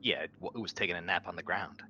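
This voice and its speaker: A man with a deep voice talks casually as a player at a tabletop roleplaying game. Clear noise sits behind his voice. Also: quick pace, pushed and bright, lo-fi sound. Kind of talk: casual in-character game chat.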